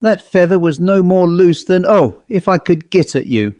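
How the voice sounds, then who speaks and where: A man performs a testy, self-important old-fashioned storybook owl, pitched up from a normal speaking voice. The bird grumbles and complains in uneducated rustic speech, always indignant, in a very dry recording. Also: normal speed, darkish tone, no echo, lo-fi sound, close to an everyday voice. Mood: indignant, bitter. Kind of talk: storybook character voice.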